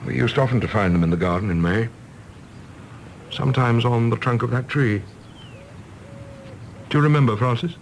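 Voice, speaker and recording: A man playing an earnest, prickly, idealistic middle-aged British gentleman in a radio drama, speaking formally and eloquently. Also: medium pitch, moderate pace, pauses often, slight background noise, lightly acted. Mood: reflective.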